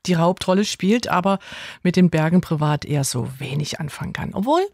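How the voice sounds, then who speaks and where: A woman's voice: fairly low pitch, animated, fairly quick, a little mellow, dry recording, studio quality. A woman radio presenter who moderates the show in an upbeat, enthusiastic way.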